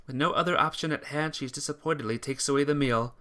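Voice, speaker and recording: A man's voice: a little high, fast talker, bright, forceful tone. A man reading a scripted story aloud as narrator, with the occasional small stumble. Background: instrumental music.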